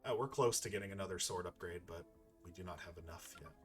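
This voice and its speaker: A man's voice: low-pitched, fast. Casual male gamer thinking aloud over music. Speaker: video game playthrough host. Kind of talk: casual gameplay commentary.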